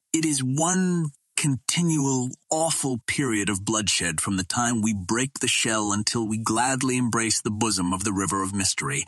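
A man's voice: mid-range voice, fairly bright tone, dry recording. A man voicing a compassionate, outspoken female Martian in an earnest, impassioned and formal storybook manner.

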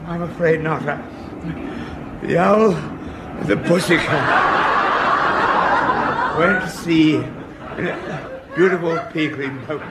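Meek old English soldier, doddery and hesitant, high voice, very noisy vintage radio comedy recording. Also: male voice, takes it slowly, long pauses. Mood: flustered. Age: elderly. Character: meek, dithering, gentle old Home Guard private. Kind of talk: British radio sitcom acting.

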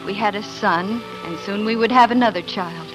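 A woman's voice: mid-range voice, moderate pace, close to an everyday voice, low-quality recording. An actress playing an earnest young pioneer farm wife and mother, telling her story in a 1940s radio drama. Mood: proud, reflective. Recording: 1940s radio recording with music.

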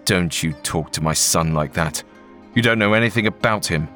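A man's voice: sits low, normal speed, pushed and bright, faint music behind, pitched below the performer's own voice. A man doing a protective angry father. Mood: defiant.

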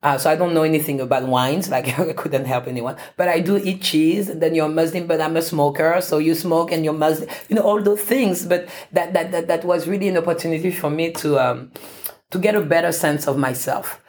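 A woman's voice: sits low, fairly quick, a little mellow. A woman from France, a professor speaking as an interview guest, reflecting at length with frequent restarts and fillers. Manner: thoughtful, many restarts and fillers.

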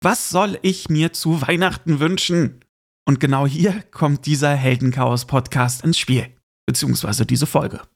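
Male podcast host, fairly high voice with heavy creak, informal and chatty. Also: fairly even intonation, a little slow. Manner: chatty, informal, addresses listener directly. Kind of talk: casual solo podcast monologue with gift tips.

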